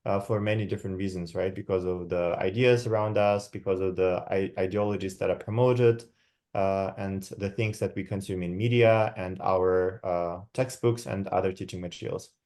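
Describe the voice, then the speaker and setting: Give me a young man, low-voiced and reflective, presenting slides in an academic talk. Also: steady tone, a little mellow. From Kazakhstan. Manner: checks in with frequent tag questions; measured, reflective, with many fillers. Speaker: guest lecturer.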